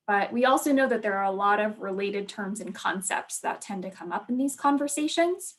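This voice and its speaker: A woman co-leading a virtual training over a video call, speaking gently with many ums and you-knows. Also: medium pitch, expressive, moderate pace.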